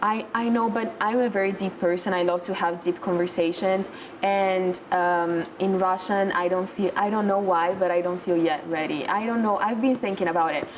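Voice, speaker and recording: A woman's voice: medium pitch, fairly even intonation, normal speed, hardly any pauses, slight background noise, rough recording. A young woman from Italy with an Italian accent, speaking candidly as an interview guest and searching for words in English, her second language. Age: young adult. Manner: hesitant, searching for words in non-native English. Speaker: talk show guest.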